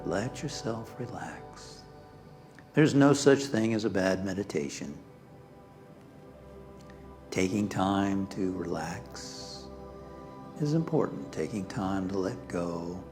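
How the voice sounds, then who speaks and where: Slow, low-voiced man guiding a meditation. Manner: calm, soothing, pausing between short phrases. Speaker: minister leading a guided meditation. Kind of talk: guided meditation. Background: background music.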